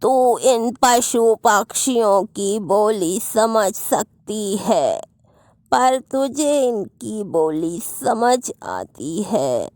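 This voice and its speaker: A kindly old woman from a cartoon moral tale, acted by a woman. She speaks very slowly and solemnly, with lively pitch movement in a dry recording. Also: dry recording. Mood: gently solemn. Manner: slow, deliberate, solemn. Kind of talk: animated moral story dialogue.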